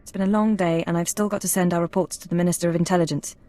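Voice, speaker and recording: An earnest intelligence analyst in a video game, played by a woman. She has a slightly low female voice. Her delivery is measured, formal and reserved. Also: steady tone, dark tone, close to an everyday voice. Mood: candid, subdued.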